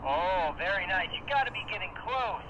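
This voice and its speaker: A man's voice: high voice, animated, moderate pace, muffled recording. A man giving short, encouraging replies over a walkie-talkie radio. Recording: crackly walkie-talkie, noisy outdoors.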